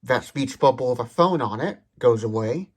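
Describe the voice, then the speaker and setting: A man's voice: mid-range voice, lively intonation, moderate pace, darkish tone, slight background noise. A man giving an explanatory product demonstration in a methodical, precise way, stressing key words. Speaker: tech demonstrator.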